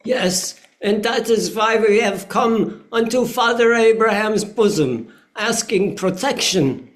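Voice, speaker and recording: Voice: medium pitch, slow pace, close to an everyday voice. A performer playing an indignant, loyal Union merchant in a historical play reading, speaking in a formal, declamatory way. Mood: earnest. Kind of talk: play table reading, period speech.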